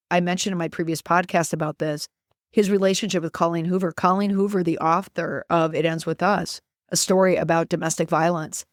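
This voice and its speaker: A woman's voice: on the low side, no room sound, clean studio sound. A middle-aged woman giving opinionated podcast commentary in an emphatic way, repeating words for stress.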